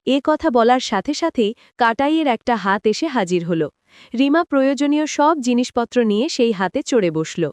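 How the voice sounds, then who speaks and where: A woman's voice: a little high, expressive, moderate pace, darkish tone, smooth, dry recording. A woman reading scripted narration for a cartoon moral story.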